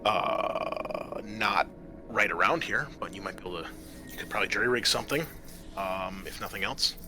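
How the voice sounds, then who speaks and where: A man's voice: fairly low pitch, normal speed, noisy background. A man acting as game master, improvising the narration of a tabletop game.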